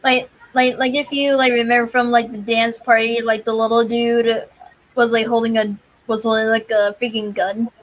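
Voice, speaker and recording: A woman's voice: fairly high pitch, little pitch movement, moderate pace, low-quality recording. A woman streamer chatting casually with friends over a game, hesitant and leaning on the word "like". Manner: hesitant, filler-heavy talk with frequent "like". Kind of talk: casual chat while playing and watching. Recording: background music behind the voice.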